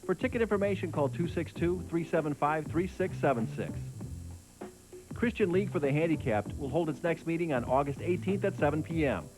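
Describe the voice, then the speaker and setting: Male community-bulletin announcer, fairly high pitch, scripted read over a music bed. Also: low-quality recording.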